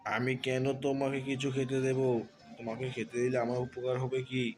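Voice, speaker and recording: A man voicing a poor, grumbling farmer in a children's cartoon, gruff, wary and out for his own gain. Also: medium pitch, completely flat delivery, normal speed, music in the background, lightly acted. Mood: grudging.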